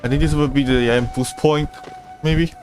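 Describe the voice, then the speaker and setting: A man giving casual, off-the-cuff live commentary as a video game streamer, relaxed and prone to chuckling. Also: fairly high pitch, moderate pace. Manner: laid-back, chuckling, off-the-cuff. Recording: game music clearly behind the voice. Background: video game music and dialogue.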